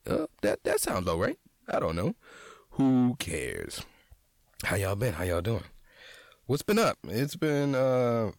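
A slightly raspy young man talking to his listeners in a loose, profane, slang-heavy way. His voice is low and swings up and down a lot as he tells stories. The sound is close and dry. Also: no room sound. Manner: profane, animated, slangy, talks straight to listeners. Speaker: podcast host. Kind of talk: casual storytelling monologue.

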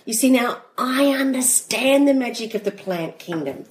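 A woman hosting a podcast, her voice nasal and stuffy from the flu. She talks playfully with a lively, swooping pitch and laughs often. The room has an echoey ring. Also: normal speed. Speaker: podcast co-host. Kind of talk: casual chat.

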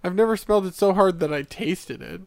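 A man's voice: sits high, fairly quick, gravelly, darkish tone, no echo, clean studio sound. A man on a casual gaming podcast who chimes in with brief, wry questions.

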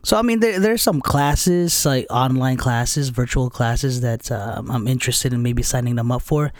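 A man's voice: medium pitch, moderate pace, dry recording. Candid rambling man, dry close sound. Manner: candid, rambling, self-deprecating. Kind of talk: casual conversation.

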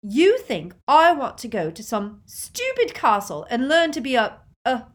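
A woman voicing a bitter, scornful older sister in an audiobook, with a sneering, forceful delivery. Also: medium pitch, wildly swooping pitch, a little slow, voice pushed up. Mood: resentful.

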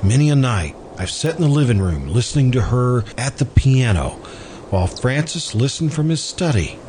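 An actor playing an earnest, hesitant man in love in an old-time radio drama, speaking deliberately and wistfully. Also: mid-range voice, very animated, dark tone, a little hiss or hum, natural voice. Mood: wistful, confiding. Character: earnest man in love with best friend's widow.

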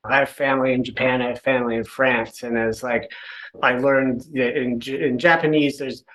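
Male discussion participant, average pitch, conversational and a little tentative. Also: fairly even intonation, moderate pace, fairly bright tone. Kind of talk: casual group discussion.

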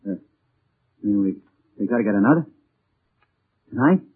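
An uneasy small-time crook in a 1940s radio drama. He is slangy and hesitant, with a very husky voice. The recording is narrow and muffled. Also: fairly low pitch, lively intonation, moderate pace. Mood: alarmed. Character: nervous, low-ranking male crook.

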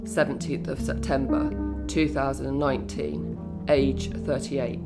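An actress as a solemn announcer reading a memorial roll call in an audio drama, measured and grave. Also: female voice, very deep voice, fairly even intonation. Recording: loud guitar music behind.

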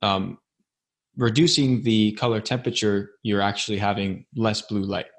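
Man, podcast host, lowish voice, friendly, chatty. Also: steady tone, moderate pace. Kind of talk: casual interview.

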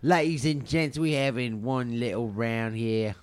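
A man's voice: medium pitch, fairly even intonation, unhurried, clear, resonant tone. A young man co-hosting a casual podcast over drinks, laid-back and often laughing. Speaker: podcast co-host. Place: indoor room.

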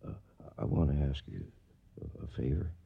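An actor playing a dying older cattle drover in a radio western, gentle and regretful, speaking weakly and breathily with halting pauses. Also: male voice, very deep voice, creaky, slightly rough, phone-quality sound, full character voice. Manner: faltering, pausing between phrases. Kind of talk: radio western drama acting.

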